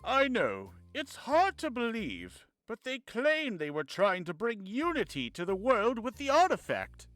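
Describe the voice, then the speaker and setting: Man playing a polite, very animated lore-keeper. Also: very high voice, a little slow, hardly any pauses, no room sound, faint music behind, full character voice. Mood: solemn. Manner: formal, expository. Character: polite, knowledgeable man explaining ancient lore. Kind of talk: amateur visual novel voice acting.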